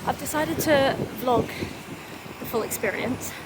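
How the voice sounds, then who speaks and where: A woman's voice: high-pitched, unhurried. A young woman chatting casually into a handheld phone as she walks down the street, with plenty of fillers. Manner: chatty, filler-heavy, walking while talking. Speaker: vlogger. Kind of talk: casual vlog chat. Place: outdoors on a street. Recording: phone vlog with street noise.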